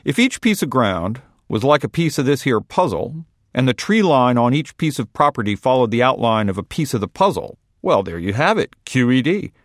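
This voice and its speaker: A man's voice: medium pitch, very animated, moderate pace, few pauses, no room sound, natural voice. Folksy storybook townsman, easy drawl, read by a man in his own voice; dry, close sound. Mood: pleased.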